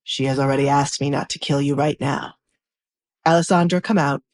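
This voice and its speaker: A woman as a low-voiced, commanding duke. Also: fairly even intonation, moderate pace, a little mellow, no room sound. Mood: stern. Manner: stern, controlled, commanding. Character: commanding, menacing duke, protective male suitor. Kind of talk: audio drama storytelling.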